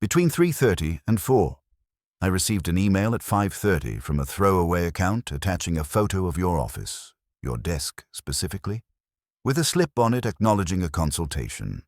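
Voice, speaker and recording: A man's voice: low-pitched, sing-song, moderate pace, a little mellow, dry recording, studio quality. A man voicing a composed, defiant businesswoman client, precise and firm, in a mystery audiobook. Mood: tense, factual.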